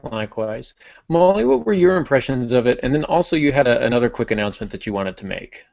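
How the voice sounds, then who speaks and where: A man's voice: mid-range voice, big pitch swings, fast talker, gravelly, darkish tone, no echo, muffled recording. A man hosting a podcast, talking in a friendly, rambling way with restarts and joking asides. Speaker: podcast host. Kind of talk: casual podcast chat.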